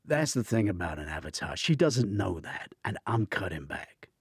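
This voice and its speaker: A man's voice: deep voice, very animated, normal speed, husky, no room sound, studio quality, heavily acted. A man voicing a stubborn, earnest tech colleague in an audiobook, defensive and matter-of-fact.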